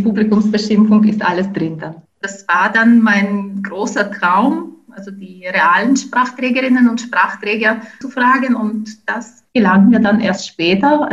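A woman's voice: medium pitch, lo-fi sound. A woman in her forties, a native speaker of Ukrainian, answering interview questions as a language researcher, thoughtful and full of small fillers and restarts. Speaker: linguistics researcher.

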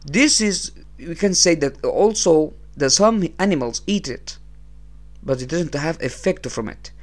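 Egyptian male podcast host, English, unhurried motivational talk, budget mic. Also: on the high side, animated. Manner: unhurried, repeats phrases, non-native English. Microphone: small low-cost podcast setup.

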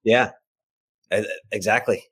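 A man's voice: lowish voice, steady tone, takes it slowly, fairly bright tone, husky. A middle-aged or older man chatting as a podcast guest, telling anecdotes in an informal, self-deprecating way. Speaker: podcast guest, fiction writer. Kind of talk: casual anecdotal chat.

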